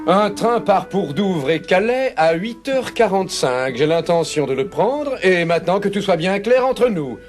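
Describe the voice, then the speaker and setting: An actor playing a confident, unflappable gentleman adventurer in a cartoon, speaking in a precise and formal way. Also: high-pitched, sing-song, a little slow, few pauses, over music. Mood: calm, assured. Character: confident, unflappable English gentleman adventurer taking a bold wager. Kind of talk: French cartoon voice acting.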